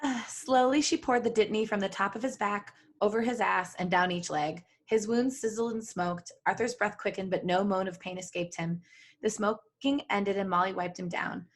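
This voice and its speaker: A woman's voice: mid-range voice, normal speed. A woman co-hosting a podcast, reading a story aloud and breaking off for casual banter. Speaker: podcast co-host reading fan fiction aloud.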